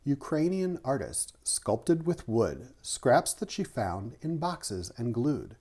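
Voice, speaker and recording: A man with a mellow, relaxed voice reads aloud for children in the manner of a teacher. His pitch moves in an animated way, keeping a clear rhythm as he presents the material. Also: slow pace. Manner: rhythmic reading of rhyming verse, teacherly asides. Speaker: author, artist and art teacher reading aloud. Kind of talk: children's picture-book read-aloud with short explanations.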